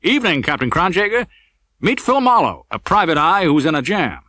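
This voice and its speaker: A man's voice: fairly high pitch, very animated, a little fast, pushed and bright, no room sound, studio quality, voice pushed up, heavily acted. A man voicing a brash, wry, tough-talking male police detective in an audiobook crime story. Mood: wry, breezy.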